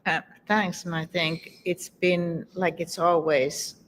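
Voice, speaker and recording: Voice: mid-range voice, level tone, slow, fairly bright tone, a little hiss or hum. A guest whose first language is Finnish, answering interview questions in English thoughtfully and fairly fluently. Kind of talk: conversational interview.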